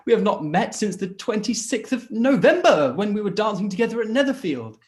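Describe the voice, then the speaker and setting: A man playing an amiable, smitten young gentleman in a period radio play, effusive and a little hesitant. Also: high-pitched, sing-song, moderate pace. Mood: wistful, smitten. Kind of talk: live-read period radio play acting.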